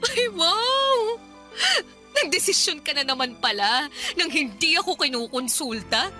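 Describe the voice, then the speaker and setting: Radio drama role: fed-up, worn-out woman, very high piercing voice, sarcastic and wounded. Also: big pitch swings, a little slow, bright, forceful tone, faint music behind, exaggerated voice. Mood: sarcastic, exhausted. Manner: emotional, accusing, sarcastic. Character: embittered, worn-out live-in partner and mother, confronting her man. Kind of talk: Tagalog radio drama acting.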